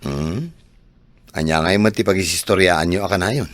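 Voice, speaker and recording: An actor playing a calm, patient working husband in an Ilocano radio drama. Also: male voice, low-pitched, moderate pace, no echo. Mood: curious.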